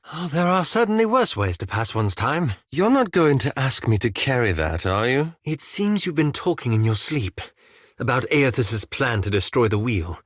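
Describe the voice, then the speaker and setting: A prissy bookish wizard in a game, dry wit, formal wording, pitch moves around a lot, no room sound. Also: male voice, dry recording. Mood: wry, cautious. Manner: formal, wry, understated phrasing. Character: reserved, fastidious, dryly sarcastic male wizard of scholarly temper. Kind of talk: scripted video game character dialogue.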